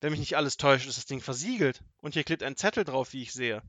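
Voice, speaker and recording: A man voicing a gruff, vengeful middle-aged robber in a storybook reading, growling and boastful, with loud threats. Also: medium pitch, animated, quick pace, no room sound. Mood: bossy triumphant. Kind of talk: storybook audiobook acting.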